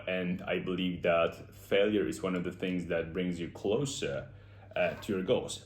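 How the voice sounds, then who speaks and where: Deep-voiced Polish man, earnest and reflective. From Poland. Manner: earnest, reflective, with frequent "uh" fillers. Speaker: self-improvement YouTuber. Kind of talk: unscripted motivational monologue. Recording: echoey room.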